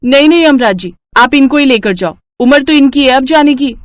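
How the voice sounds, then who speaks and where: A woman voicing a sharp-tongued, bossy young daughter-in-law who scolds and complains in an animated moral story. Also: sits high, brisk, no echo, clean studio sound, pitched above the performer's own voice. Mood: bossy, complaining.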